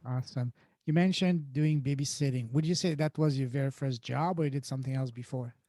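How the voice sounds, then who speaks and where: A man's voice: medium pitch, brisk, dark tone, dry recording, studio quality. A man from France with a French accent, hosting a podcast and encouraging his guest with short reactions. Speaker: podcast host. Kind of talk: interview questions.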